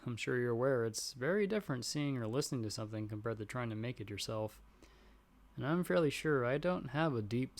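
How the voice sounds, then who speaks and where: A man's voice: mid-range voice, lightly acted. Man acting as nervous, chatty young guy friend. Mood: sheepish, explaining, then joking, teasing. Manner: casual, rambling, self-deprecating, chuckling between phrases. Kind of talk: audio roleplay addressed to the listener as a friend.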